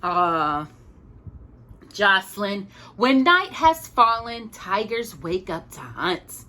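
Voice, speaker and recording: A woman hosting a storytime, reading a picture book aloud in an expressive, chatty way with casual asides. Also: medium pitch, takes it slowly. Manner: expressive, chatty, stumbles and corrects herself.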